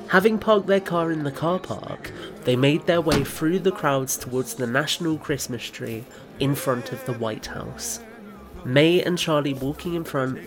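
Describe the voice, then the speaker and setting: A man reading scripted third-person narration as the narrator of an audio drama. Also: on the high side.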